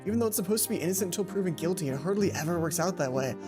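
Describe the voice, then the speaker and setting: Earnest, formal schoolboy for a visual novel, measured and sincere, played by a woman, music underneath. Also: lowish voice, lively intonation, close to an everyday voice. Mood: serious, resolute. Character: earnest, kind-hearted schoolboy, stiff and formal. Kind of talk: visual novel character reading.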